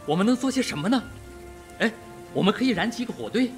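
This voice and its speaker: A man's voice: high voice, lively intonation, fast talker, faint music behind. Man playing a fretful, guilt-ridden doctor. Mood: panicked. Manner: overwrought, fretful. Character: panicky, guilt-ridden male doctor, a reformed drunkard. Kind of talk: Mandarin radio mystery drama acting.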